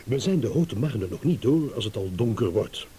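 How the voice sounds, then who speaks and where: An actor playing a smug, shrewd, eloquent gentleman in a radio drama, with mock courtesy. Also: medium pitch, animated, moderate pace, close to an everyday voice. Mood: measured storytelling. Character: smug, shrewd gentleman savouring a battle of wits. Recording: hissy old radio play recording.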